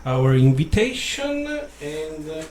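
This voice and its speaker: Italian guy hosting radio in english, slow talker, jokey, bit of hiss behind. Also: a little high, sing-song, a little mellow. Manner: jokey, lots of "uh" fillers. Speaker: radio host. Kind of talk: casual radio chat.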